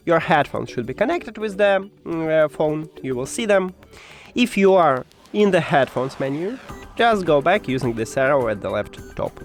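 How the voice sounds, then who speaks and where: A man who speaks English as a second language, presenting a step-by-step tech tutorial with hesitant, halting phrasing. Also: mid-range voice. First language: not English.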